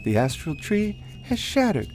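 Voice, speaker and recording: A woman's voice: fairly low pitch, big pitch swings, a little slow, a little mellow, soft background music. A woman voicing a solemn elf ranger in a fantasy game reading, earnest and formal.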